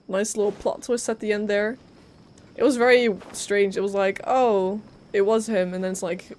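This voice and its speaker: A woman's voice: medium pitch, darkish tone, a little hiss or hum. A woman streaming a video game live, commenting casually in a chatty, rambling, giggly way. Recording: faint game music behind voice. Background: faint game music.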